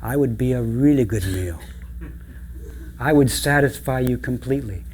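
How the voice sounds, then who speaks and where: A man voicing a large, selfless billy goat in a told folk tale, calm and solemn. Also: medium pitch, moderate pace, a little hiss or hum, natural voice. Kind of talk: storytelling.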